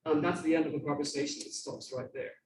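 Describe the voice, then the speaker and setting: A man's voice: fairly high pitch, steady tone, quick pace. Irish male lecturer, conversational delivery with emphatic repetition, recorded at a distance in an echoing room. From Ireland, grew up in Dublin. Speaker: guest lecturer. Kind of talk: informal lecture. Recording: roomy, distant mic with faint noise.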